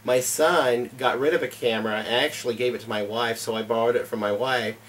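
A man's voice: medium pitch, steady tone, a little fast, a bit bright, recorded from a distance. Middle-aged man, earnest plain explainer, off-mic, faint room hum, home library interview. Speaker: homesteading YouTube channel host. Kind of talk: casual interview answers. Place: home library with bookshelves and fireplace.

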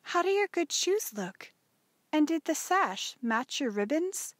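Woman as a kindly farm mother, higher pitched. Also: very animated, a little slow, fairly bright tone, no room sound, clean studio sound, exaggerated voice. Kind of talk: audiobook character voice.

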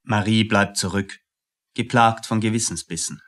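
A man's voice: fairly low pitch, normal speed, a bit bright. A man reading scripted narration for a radio play.

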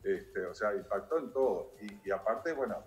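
A man's voice: fairly low pitch, lively intonation, normal speed, echoey room. Hesitant older Uruguayan man on a video call. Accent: Uruguayan Spanish. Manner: hesitant, many filler words. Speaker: restaurant owner. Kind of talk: remote interview guest.

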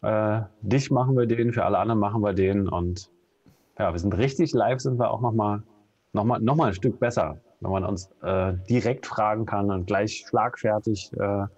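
A man in his thirties with a Berlin accent, co-hosting a casual live stream from home, relaxed and full of hesitations. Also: lowish voice, moderate pace. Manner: relaxed, many fillers and hesitations. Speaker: co-host. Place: home room with bookshelf. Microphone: video call webcam. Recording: dull lo-fi video call audio.

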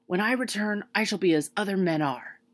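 A woman's voice: medium pitch, fairly quick, pitched above the performer's own voice, lightly acted. A woman voicing a cheerful, eager male scarecrow of straw, earnest and hopeful, in a storybook reading.

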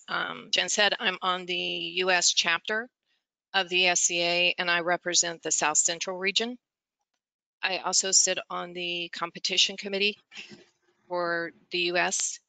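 Gravelly, bright-edged woman, flat delivery. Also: on the low side, no echo, rough recording. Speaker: panel co-host and roaster. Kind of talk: self-introduction on video call.

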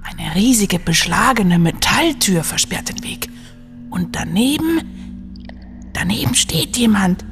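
Wary, mid-pitched young scout played by a woman. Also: animated, slow, over music. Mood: tense. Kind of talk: audio drama acting.

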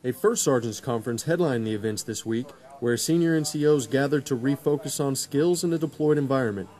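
A young adult man reading a scripted military news report in a crisp announcer delivery. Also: medium pitch, a little fast, a little mellow.

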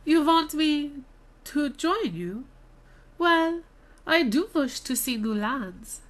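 A woman's voice: high voice, animated, slow, pitched above the performer's own voice. A woman voicing a mystical, portentous female fortune teller for a video game. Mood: musing. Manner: dramatic, portentous. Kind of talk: video game character acting.

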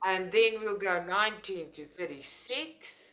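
A woman's voice: medium pitch, expressive, unhurried, bright, forceful tone. South African woman, Afrikaans first language, enthusiastic rambling craft vlog host, casual unboxing chat. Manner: chatty, enthusiastic, rambling with self-corrections. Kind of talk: casual unboxing talk to camera. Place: indoors at a table, filming overhead.

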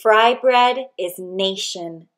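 A woman reads a picture book aloud in a mid-range voice. Her pace is very slow and measured. She phrases each short line deliberately and expressively, leaving space between them. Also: medium pitch. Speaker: read-aloud narrator.

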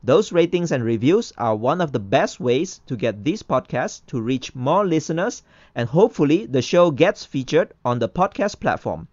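A man hosting a podcast, presenting and interviewing in a clear, organised way. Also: on the high side, no echo, highs cut off. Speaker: podcast host. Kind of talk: scripted podcast introduction and interviewing.

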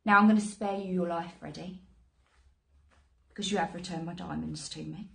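Woman acting as crime boss, female, British accent, slightly deep voice, menacing, deliberate, dramatic monologue. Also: fairly even intonation, vocal fry. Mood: menacing, calm. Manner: deliberate, threatening, with quiet menace. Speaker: actress. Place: small plain indoor room.

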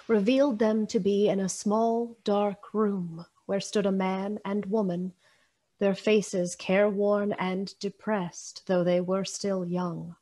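A woman narrating a radio play in a solemn, literary, dramatic storytelling style with deliberate phrasing. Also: medium pitch, a little mellow, a little hiss or hum.

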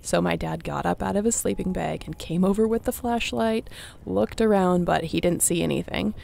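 A woman playing a young apocalypse survivor, a tender, teasing companion in an intimate audio roleplay, with a creaky voice. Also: lowish voice, mellow. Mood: amused storytelling. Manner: unhurried, intimate, playful.

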